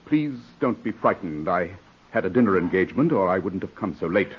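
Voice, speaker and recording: An actor playing a composed, authoritative gentleman in a 1940s radio drama, husky-voiced, measured and questioning. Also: sits low, lively intonation, faint music behind. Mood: grave, controlled. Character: composed, commanding English gentleman, brisk and probing. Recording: muffled vintage radio recording.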